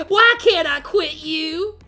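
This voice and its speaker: A woman's voice: extremely high pitch, sharp tone. A woman chatting casually on a live stream, gushing, laughing often and swearing freely, with lots of filler words. Her voice is scratchy from laughing so hard. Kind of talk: casual livestream chat, reading chat messages.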